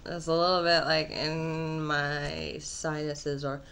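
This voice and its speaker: A woman vlogging casually from her apartment with a slightly nasal, husky voice, rambling and trailing off mid-thought. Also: low voice, level tone, slow, fairly bright tone.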